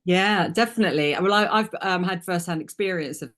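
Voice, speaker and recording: Friendly lower-voiced woman host. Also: brisk, a bit bright. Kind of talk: casual interview.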